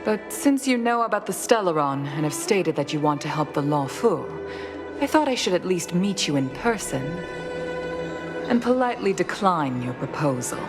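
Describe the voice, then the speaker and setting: An actress voicing a stern, formal middle-aged female commander in a video game, speaking with measured firmness. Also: fairly low pitch, very animated. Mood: formal, firm. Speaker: guild leader in a sci-fi fantasy game. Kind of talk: scripted video game dialogue. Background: background music.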